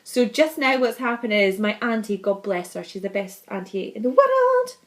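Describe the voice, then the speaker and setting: A woman's voice: mid-range voice, sing-song, normal speed. A young woman with a Scottish accent, chatting casually and bubbly to camera in her vlog, laughing often. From Scotland. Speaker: vlogger.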